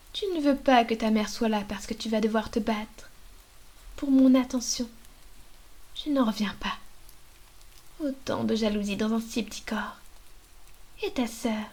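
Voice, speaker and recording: A woman voicing a manipulative female vampire mistress in an ASMR roleplay, talking in a slow, coaxing, breathy whisper into a binaural microphone. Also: fairly high pitch, a little mellow. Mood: possessive. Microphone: binaural dummy-head mic.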